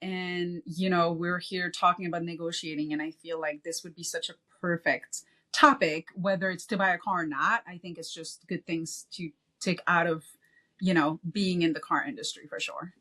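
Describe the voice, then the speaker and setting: A woman's voice: lowish voice, fairly even intonation, moderate pace, bright, forceful tone. A woman from Belgium, a former car salesperson, talking casually as a podcast guest and often checking in with "you know" and "right?".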